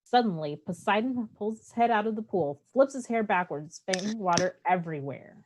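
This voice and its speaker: A woman's voice: mid-range voice, lively intonation, normal speed, background noise. A woman reading stage directions as the narrator of a play, in scripted narration.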